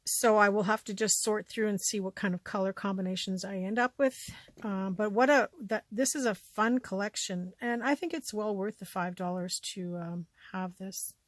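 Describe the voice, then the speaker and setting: A woman with a scratchy voice chatting casually as she shows off a thrift haul, often trailing off into quiet asides. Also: mid-range voice, moderate pace, no echo. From Canada. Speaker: vlogger showing a thrift haul. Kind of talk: casual show-and-tell chat.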